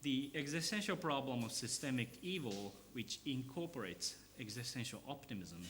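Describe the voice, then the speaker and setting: Man giving a bright, low-pitched lecture. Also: slight background noise. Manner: measured and explanatory, with pauses and fillers. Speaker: philosophy professor giving a talk. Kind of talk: academic lecture.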